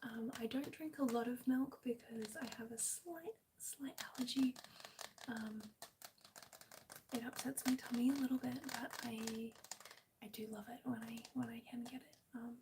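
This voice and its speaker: A woman with an Australian accent chatting gently in a hushed, breathy, near-whispered ASMR live stream style. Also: a little high, fairly even intonation, moderate pace, background noise. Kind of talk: soft-spoken ASMR live chat with viewers.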